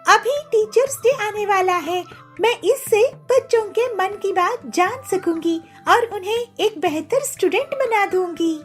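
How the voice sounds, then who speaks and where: A woman voicing Pilu, a strict and lively female bird schoolteacher in a cartoon. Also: very high voice, measured pace, soft background music. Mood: stern. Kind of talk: cartoon voice acting.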